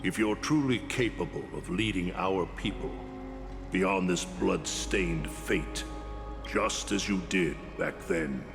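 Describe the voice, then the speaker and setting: An actor playing a proud, bitter old warrior mentor in a fantasy game, speaking formally and gravely. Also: male voice, low voice, takes it slowly, exaggerated voice. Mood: solemn, regretful. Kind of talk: dramatic fantasy game acting. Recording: long hall reverb, loud-ish music behind. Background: music.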